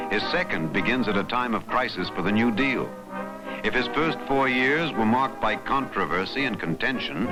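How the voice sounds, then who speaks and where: A man's voice: lowish voice, poor audio. A man with an American accent reads scripted documentary narration in a dramatic, emphatic newsreel style. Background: background music.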